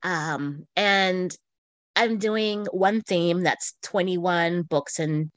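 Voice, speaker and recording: A woman's voice: lowish voice, drawn-out words, dry recording. A woman co-hosting a podcast in casual, giggly conversation. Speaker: podcast co-host. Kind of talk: casual chat about books and shows.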